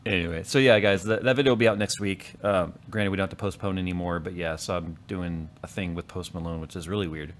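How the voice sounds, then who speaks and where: A man's voice: sits low, fairly even intonation, fast, few pauses. A man in his thirties hosting a podcast, chatting casually and telling stories with a chuckle. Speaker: podcast host. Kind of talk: casual chat.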